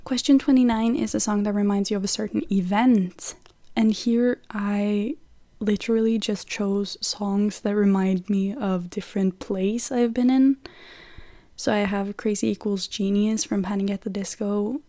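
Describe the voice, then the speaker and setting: Young Norwegian woman, mellow dry voice. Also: medium pitch, steady tone, unhurried, no room sound. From Norway. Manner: chatty, many "like" fillers, lists songs. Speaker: vlogger. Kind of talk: casual chat.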